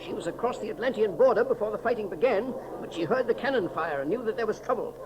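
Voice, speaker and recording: Kindly brisk scientist doc in a 30s radio serial, really high voice, noisy old recording. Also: male voice, animated. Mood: explanatory. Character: kindly, capable scientist doctor, male rescuer. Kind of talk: 1930s radio serial acting.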